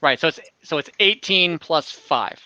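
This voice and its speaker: A man's voice: on the high side, lively intonation, a little slow, sharp tone, no echo, highs cut off. A man talking casually as dungeon master in an online tabletop game. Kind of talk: casual game-running talk. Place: indoors, online voice call.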